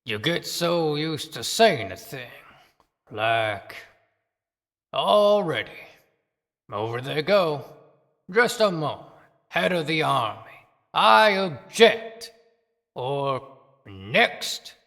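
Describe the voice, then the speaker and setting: A man's voice: a little high, sing-song, very slow, gravelly, pauses often, fairly bright tone, voice pushed down, exaggerated voice. A man performing a musing, haunted town barber in a brooding, subdued audio play monologue. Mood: brooding, eerie. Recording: long reverberant echo.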